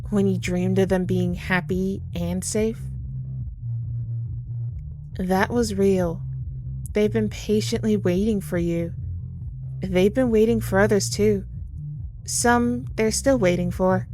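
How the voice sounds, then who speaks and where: A woman playing a gentle, sorrowful Grim Reaper in an ASMR audio roleplay, soft-spoken and tender as she reassures the listener. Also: mid-range voice, steady tone, slow, pauses often, music in the background, close to an everyday voice. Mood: comforting, wistful. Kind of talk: ASMR audio roleplay, intimate comforting monologue.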